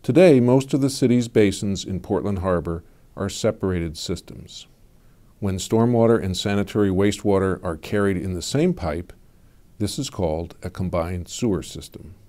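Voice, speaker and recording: Low, mellow male explainer narrator. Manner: measured, even informational delivery.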